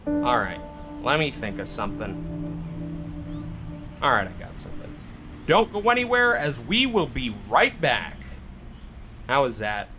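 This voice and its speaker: A man's voice: mid-range voice, wildly swooping pitch, measured pace, long pauses, music in the background. A man playing the showy host of an urban legend show in a horror audio drama. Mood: casual, let down. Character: male urban legend show host broadcasting from a haunted cemetery.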